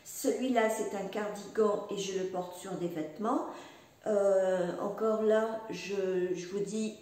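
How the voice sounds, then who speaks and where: A woman's voice: mid-range voice, darkish tone. A woman chatting casually about her knitting, hesitant and rambling, with many fillers and restarts. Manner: rambling, chatty, self-deprecating. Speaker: knitting and crochet podcaster. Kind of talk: casual chat showing knitwear. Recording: echoey room, voice from a distance.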